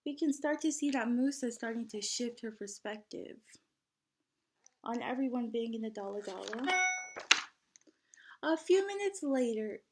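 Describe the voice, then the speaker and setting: A woman with a high-pitched voice reads to children like a teacher. Her delivery is expressive and animated, made for a picture book, and she guides her young listeners through it with simple prompts. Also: moderate pace, long pauses, slight background noise. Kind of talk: expressive children's read-aloud with questions to listeners.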